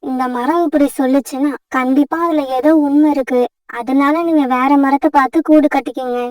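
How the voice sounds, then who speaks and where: Woman acting a gentle female sparrow, high pitch, soft tone, polite, sensible, cartoon fable, slightly distant. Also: fairly even intonation, normal speed, dry recording, lightly acted. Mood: calming.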